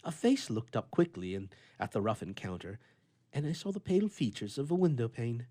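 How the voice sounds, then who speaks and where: A man playing a grumpy, flustered bachelor with a soft heart, telling his tale with theatrical bluster and stammering in old-fashioned storybook prose. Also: mid-range voice, expressive, brisk, natural voice. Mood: irritated, grumbling.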